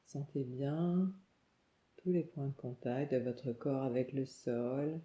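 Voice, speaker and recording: Slow, very mellow woman guiding meditation. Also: very deep voice. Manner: calm, soothing, instructing in short phrases with pauses. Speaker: yoga nidra meditation guide. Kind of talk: guided relaxation meditation. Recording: muffled, echoey room sound.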